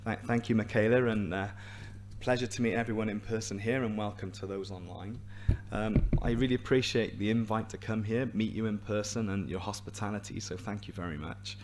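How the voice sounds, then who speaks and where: A man with a hoarse, raspy voice giving a scientific seminar to a live and online audience, explaining clearly with a few restarts. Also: on the low side, fairly even intonation, fairly quick, a little mellow, slight background noise. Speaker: invited seminar speaker, hematologist.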